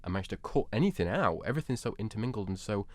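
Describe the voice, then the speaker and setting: A man's voice: fairly low pitch, expressive, rapid-fire, darkish tone, no echo. A man arguing his opinion emphatically in a casual panel debate, repeating words for stress.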